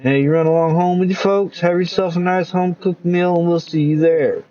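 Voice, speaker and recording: A man's voice: high-pitched, steady tone, fairly quick, mellow, pitched above the performer's own voice, close to an everyday voice. Man doing a genial small-town mayor, speechifying, folksy, dull lo-fi. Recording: dull lo-fi recording.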